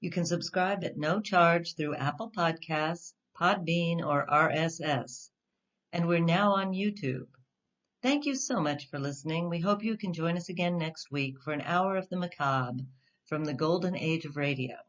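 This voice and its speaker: A woman's voice: on the low side, moderate pace, fairly bright tone, highs cut off. A woman hosting a radio show, reading a scripted sign-off.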